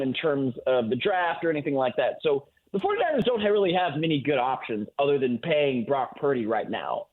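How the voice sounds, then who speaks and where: A man giving football analysis as a guest in a sports radio interview, heard over a remote call. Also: a little high. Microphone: phone or remote call. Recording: thin, phone-like line.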